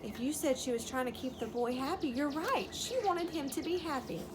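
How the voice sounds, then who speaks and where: A woman's voice: sits high, normal speed, background noise. A woman reading a story aloud to young children as a teacher would, explaining and asking simple questions.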